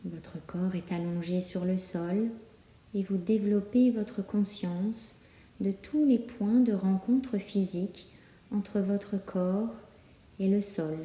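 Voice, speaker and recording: A woman guiding a yoga nidra relaxation, speaking calmly and soothingly with many pauses. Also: mid-range voice. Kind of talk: guided relaxation meditation. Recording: roomy, echoing sound.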